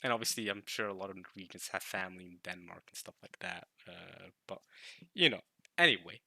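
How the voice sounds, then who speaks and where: A man's voice: deep voice, a little fast, vocal fry, pushed and bright, no room sound. Rambling Norwegian man reacting to anime. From Norway. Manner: rambling, self-interrupting, laughing often. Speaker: reaction video host. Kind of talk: casual reaction commentary.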